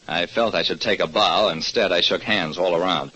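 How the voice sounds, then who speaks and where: A man's voice: low-pitched, normal speed, pushed and bright, slight background noise, poor audio. An actor playing a wry, hard-boiled private detective who narrates his case tersely in an old radio drama. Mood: wry, dramatic.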